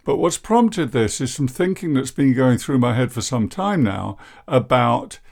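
Deep croaky-voiced older man. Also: animated, a little mellow. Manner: downbeat, measured and self-deprecating. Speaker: podcast host, therapist and coach. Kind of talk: reflective podcast monologue.

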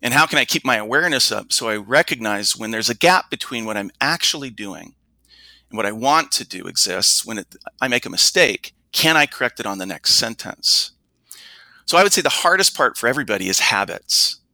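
A man coaches on speaking in an interview, explaining patiently and slowing down on key words. His tone is very bright and forward. The sound is dry and close, with no room around it. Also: mid-range voice, animated, no room sound, rough recording. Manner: explanatory, slows down to stress points. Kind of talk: conversational interview answers.

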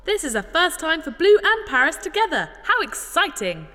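A woman's voice: very high voice, big pitch swings, normal speed, bright, forceful tone, exaggerated voice. A woman playing an eager, naive, childlike female robot who is morbidly curious, in a sci-fi audio drama. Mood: excited. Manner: speaks of herself in third person, singsong pleading. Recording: long hall-like reverb.

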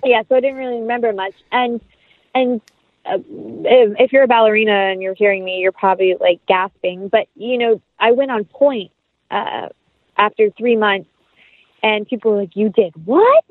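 Phone-quality audio, woman, interview guest, casual, chatty, enthusiastic, medium-pitched voice. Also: moderate pace, dry recording. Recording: narrow phone-like line.

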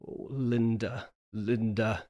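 A man's voice: lowish voice, steady tone, slow pace, dark tone, muffled recording. A man playing a wronged, desperate husband in a theatrical 1930s radio melodrama reading, overwrought and stammering. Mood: pleading. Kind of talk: 1930s radio horror melodrama table read.

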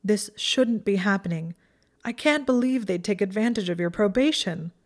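A woman's voice: mid-range voice, moderate pace, darkish tone, close to an everyday voice. A woman voicing an anguished, guilt-ridden and fierce young female student in an audiobook, urgent and insistent. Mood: indignant.

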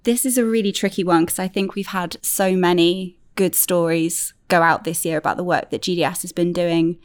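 A woman's voice: fairly low pitch, steady tone, moderate pace, dry recording. A woman who heads a team, chatting as a podcast guest in a friendly, enthusiastic way. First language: Welsh-speaking, fluent. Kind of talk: casual chat.

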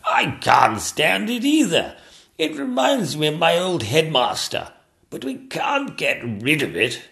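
A man voicing a vague, resigned King in a comic fairy tale, drawn-out and rambling. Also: a little high, huge pitch swings, creaky, bright, forceful tone, voice pushed up, full character voice. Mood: exasperated.

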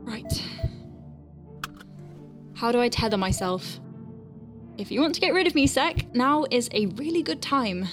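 An anxious woman medic in a science-fiction audio drama. Her voice sits on the high side and she takes her time, wry yet hesitant under pressure. Music runs underneath. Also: animated, unhurried, pauses often. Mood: scared, wry. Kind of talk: sci-fi audio drama acting.

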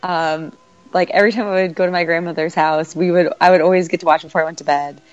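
A woman's voice: fairly low pitch, steady tone, quick pace, no room sound, dull audio, soft background music. Congested woman in casual chat. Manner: chatty, laughs often, many fillers. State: congested from a cold. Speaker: podcast co-host.

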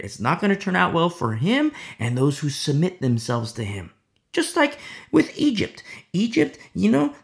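Gravelly man teaching a sermon. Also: fairly high pitch. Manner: rhetorical, repeats "you see?", drops to hushed asides. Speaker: Bible teacher.

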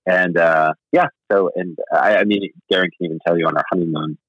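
Low-voiced, hesitant man on a muffled line. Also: steady tone, brisk, no echo. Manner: rambling and hesitant, full of restarts, self-deprecating. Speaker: novelist guest. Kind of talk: casual interview chat. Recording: slightly muffled dry sound.

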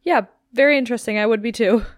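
A woman's voice: on the high side, fast, no room sound, clean studio sound. A woman co-hosting a podcast, chiming in with short reactions and easy laughter. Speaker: podcast co-host. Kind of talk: casual chat.

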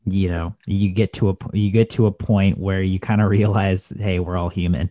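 A man with a very deep voice hosts a podcast conversation, circling his questions with fillers and repeated starts. The recording is dry and close, with no room sound. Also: flat delivery, moderate pace, mellow, dry recording. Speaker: podcast host. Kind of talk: casual interview conversation.

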